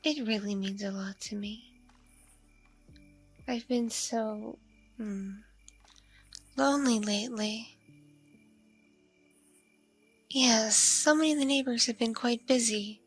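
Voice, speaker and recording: A woman playing a lonely, flirtatious vampire neighbor in an intimate ASMR roleplay, speaking in a breathy, creaky murmur with hesitant, drawn-out pauses. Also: fairly high pitch, soft background music. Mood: shy, friendly. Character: lonely, flirtatious, awkwardly polite pale vampire woman next door. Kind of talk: ASMR roleplay, intimate one-sided dialogue.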